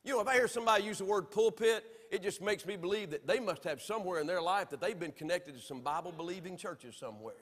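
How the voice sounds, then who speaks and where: A man from Alabama with a Southern accent, preaching a sermon from the pulpit with emphatic swings between loud and soft. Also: high voice, expressive, fast, hardly any pauses, big-room sound. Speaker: pastor. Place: church sanctuary.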